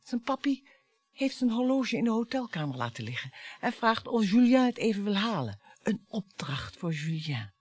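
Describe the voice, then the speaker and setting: A woman's voice: mid-range voice, big pitch swings, normal speed, breathy edge, dry recording. An actress in a radio play playing a bitter, taunting middle-aged wife and mother, sarcastic and dramatic. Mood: bitter reminiscing. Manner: sarcastic, dramatic, swinging between hushed and biting.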